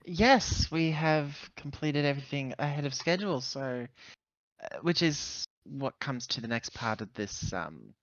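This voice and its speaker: Guy doing tabletop rpg, halting casual talk, bright voice, dry slightly muffled mic. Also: medium pitch, lively intonation, dry recording. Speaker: player in a tabletop roleplaying game. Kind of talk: casual in-character tabletop roleplay, hesitant.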